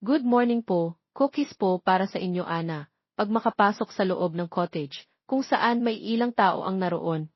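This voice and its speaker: Husky Filipino woman narrating a story. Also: medium pitch. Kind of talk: scripted romance story narration. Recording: dull, roomy, lo-fi audio.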